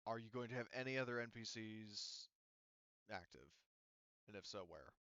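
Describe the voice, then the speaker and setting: A man acts as game master for a tabletop game in a fairly low, clean voice. He narrates and explains rules casually, often pausing to think aloud. The recording is dry and slightly dull. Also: expressive, pushed and bright, no room sound.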